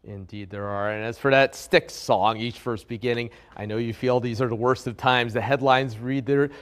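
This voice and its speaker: A man's voice: mid-range voice, steady tone, normal speed, fairly bright tone, no room sound, studio quality. A man narrating a news package as reporter, wry and playful.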